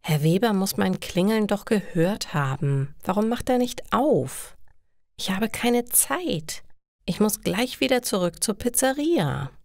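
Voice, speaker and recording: Woman reading as an earnest, anxious young man, slow. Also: mid-range voice, big pitch swings, a little mellow, dry recording, studio quality, pitched above the performer's own voice. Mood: impatient. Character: anxious young man, reluctant criminal courier.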